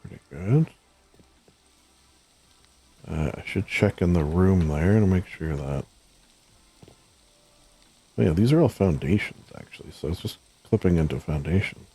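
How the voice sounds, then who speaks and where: A hoarse man mumbling to himself as he plays a game, thinking aloud and trailing off, speaking close to a desk mic at home. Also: low-pitched, animated, a little slow, pauses often, mellow, poor audio. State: hoarse, losing his voice. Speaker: gaming streamer.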